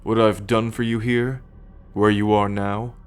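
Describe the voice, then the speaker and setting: A man playing a proud, possessive alien starship captain in an ASMR roleplay, speaking in a measured, formal, commanding way to the listener. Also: lowish voice, level tone, clear, resonant tone, natural voice. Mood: teasing, smug. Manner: measured, imperious, formal lecturing.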